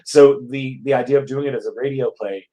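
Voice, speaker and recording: A man from Connecticut, a playwright, answering interview questions casually in a thoughtful, halting way with many pauses. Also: mid-range voice, dark tone. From Connecticut, United States. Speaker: guest playwright.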